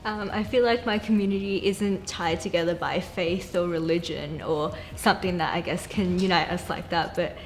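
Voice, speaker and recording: A woman's voice: fairly low pitch, fairly even intonation, moderate pace, hardly any pauses, faint music behind, rough recording. A young Chinese Australian woman talking casually in a seated group conversation, thoughtful and full of "like" fillers. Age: young adult. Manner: thoughtful, uses many fillers like "like". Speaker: panel guest. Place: bright studio with plants, indoors.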